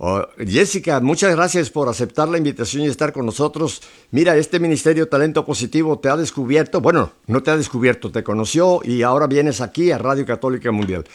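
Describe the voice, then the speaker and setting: A man's voice: medium pitch, expressive, a little fast, few pauses. Mexican man, middle-aged, radio host; easygoing, joking talk. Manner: chatty, jokey, warm storytelling. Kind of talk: casual radio chat.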